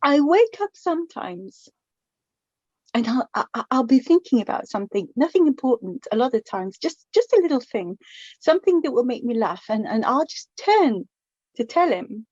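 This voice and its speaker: A middle-aged woman playing a chatty, wistful widowed mother of the bride in a naturalistic radio play, speaking in a halting, reflective, conversational way. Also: high voice, big pitch swings, darkish tone, slightly rough, dry recording, lo-fi sound, exaggerated voice. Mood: wistful, grieving.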